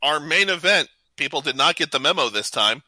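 A man's voice: a little high, expressive, fairly quick, pushed and bright, dry recording. A man co-hosting a casual podcast, geeky in manner, reading out matchups and bantering with his co-hosts. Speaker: podcast co-host. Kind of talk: casual bantering panel chat.

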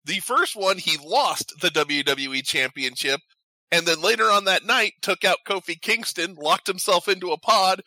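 Male radio host, casual trivia delivery. Very bright, punchy, slightly high voice, dry sound. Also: moderate pace, no room sound, poor audio. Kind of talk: casual chat hosting an entertainment quiz.

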